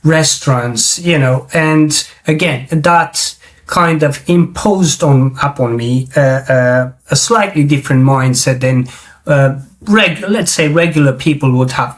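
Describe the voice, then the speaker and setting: Hesitant host. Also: male voice, mid-range voice. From Romania. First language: Romanian. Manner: hesitant, many fillers and restarts. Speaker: podcast host. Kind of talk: interview questions.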